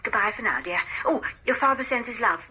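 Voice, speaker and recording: An actress playing a woman who leaves brisk, familiar answering machine messages in a radio drama. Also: fairly high pitch, animated. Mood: fussy. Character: woman leaving a chatty, familiar phone message. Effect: telephone answering machine. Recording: very noisy telephone message, clicks.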